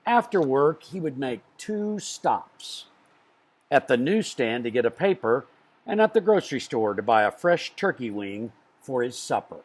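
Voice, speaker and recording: A man reading a children's storybook aloud in an expressive way, with dramatic pauses. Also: mid-range voice. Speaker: storybook reader.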